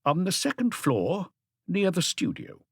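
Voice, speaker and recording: A man's voice: sits high, wildly swooping pitch, measured pace, vocal fry, husky, no echo, clean studio sound, pitched above the performer's own voice, full character voice. A man voicing a wealthy, guarded and haughty Dutch art collector in an audiobook, polite but defensive. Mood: curt. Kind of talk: audiobook character dialogue.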